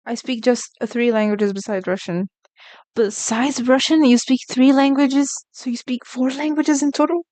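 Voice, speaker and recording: Smooth-voiced woman chatting on stream. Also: sits high, fairly even intonation, normal speed, darkish tone, no room sound. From Brazil. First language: Portuguese. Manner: chatty, reacts to chat messages. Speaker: artist streamer. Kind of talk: casual live-stream chat while drawing.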